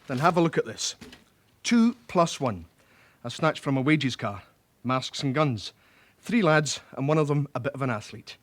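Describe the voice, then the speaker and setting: A matter-of-fact police records officer, played by a man in a British radio drama. His voice is medium-pitched, and he sounds orderly and helpful. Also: expressive, normal speed, close to an everyday voice.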